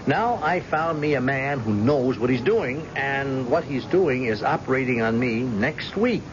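A man's voice: mid-range voice, lively intonation, close to an everyday voice. An actor playing a stubborn, cagey middle-aged blind father in an old-time radio drama, with a hesitant, folksy delivery. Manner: hesitant, folksy, halting interjections. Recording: muffled, noisy old radio recording.

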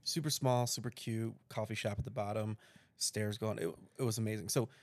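Gravelly low-voiced man in his thirties. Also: fairly quick, no room sound. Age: 30s. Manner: relaxed, rambling with restarts. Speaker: podcast co-host. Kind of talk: casual chat.

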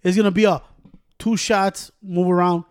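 A man chatting as a podcast co-host, fast and profane, switching between Spanish and English. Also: sits high, fairly even intonation, clear, resonant tone, no echo, studio quality. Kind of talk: casual chat.

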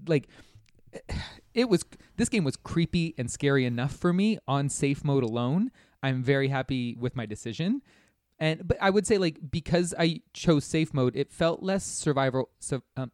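A man with a medium-pitched voice chats casually about games as a podcast co-host. He rambles in a self-deprecating way and peppers his talk with "like." The sound is dry, with no room echo. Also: darkish tone. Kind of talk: casual video game discussion.

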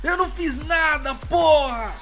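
A man's voice: very high-pitched, a little slow, fairly bright tone, faint music behind, full character voice. A man playing a terrified, desperate victim in an audio drama, pleading and bargaining frantically for his life with coarse language. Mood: frantic bargaining. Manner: pleading, frantic, foul-mouthed.

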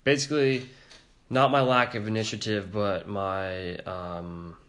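A soft-spoken, introverted man telling a story in a casual podcast chat, hesitant, with many fillers. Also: lowish voice, fairly even intonation, a little slow. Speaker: podcast co-host.

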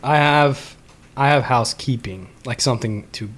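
A man on a comedy podcast, cracking offhand deadpan jokes. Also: medium pitch, normal speed, a little hiss or hum. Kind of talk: casual comedy podcast banter.

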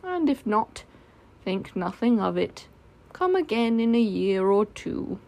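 A woman voicing a gloomy, self-deprecating male donkey in a storybook, slow, mournful and deadpan. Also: medium pitch, creaky, heavily acted. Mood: gloomy, dry.

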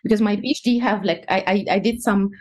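Young woman, mid pitch, thoughtful guest answers full of hesitations and restarts. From Egypt. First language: Egyptian Arabic. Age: young adult. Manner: halting, many fillers and restarts. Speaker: guest researcher. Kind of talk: casual interview answers.

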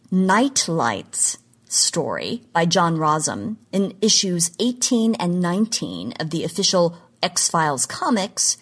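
A woman's voice: lowish voice, breathy edge. A woman presenting a scripted podcast segment on genre history in an articulate, emphatic, lecture-like manner.

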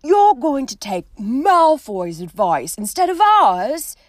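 A woman voicing a hot-tempered teenage boy who talks loud and heated. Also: fairly high pitch, big pitch swings, normal speed, dry recording, clean studio sound, voice pushed up. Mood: furious.

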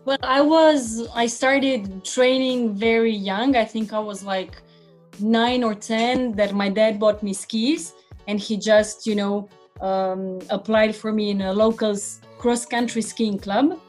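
A woman's voice: on the high side, fairly even intonation, slow pace, a little mellow, soft background music. A woman from Croatia, a guest on an interview podcast, talking casually with many fillers and restarts.

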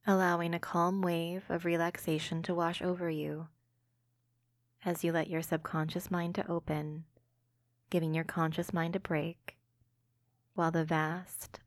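A woman hosting a guided imagery meditation podcast, speaking slowly and gently into a close mic. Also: on the low side, dry recording. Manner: slow, soothing, gentle delivery.

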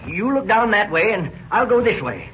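An actor playing a crotchety, excitable townsman with a blustery, folksy manner in a radio drama. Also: high voice, moderate pace. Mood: urgent. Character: crotchety, excitable male townsman joining a search. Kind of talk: 1930s radio drama acting. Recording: dull, noisy old radio recording.

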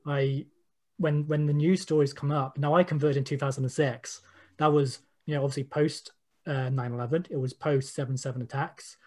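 A man's voice: a little high, fairly even intonation, moderate pace, darkish tone. A British man giving personal testimony in an informal discussion, opinionated and repeating phrases for emphasis. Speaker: interviewee, panel participant.

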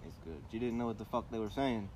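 A young man with a very low voice jokes crudely with friends, circling back and restarting his sentences. He is recorded outdoors, with steady background noise under his voice. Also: fast talker. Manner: crude, joking, rambling with restarts. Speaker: friend in casual chat. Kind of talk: casual chat.

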